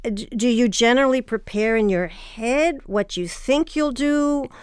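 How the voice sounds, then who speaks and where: Female host. Slow, smooth and resonant, slightly high pitch, measured interview style. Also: a little mellow, no room sound, studio quality. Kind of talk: measured, prepared interview questions.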